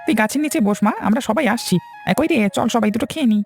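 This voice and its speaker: A man voicing a bossy, scheming middle-aged village farmer in a cartoon, talking in colloquial family speech with drawn-out interjections. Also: very high voice, gravelly, faint music behind. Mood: concerned, hungry. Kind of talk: cartoon dialogue.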